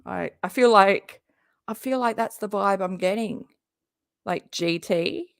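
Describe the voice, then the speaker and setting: Hoarse, gravelly woman hosting a live reaction stream, jokey and chatty, dry close sound. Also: medium pitch, takes it slowly, no echo. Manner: chatty, jokey, talks to her viewers. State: hoarse, often losing her voice. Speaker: YouTube live-stream host and comedian.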